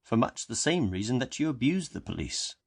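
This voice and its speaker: A man voicing a calm, solemn, educated policeman who speaks in a slow and measured way. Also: mid-range voice, breathy edge. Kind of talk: audiobook character reading.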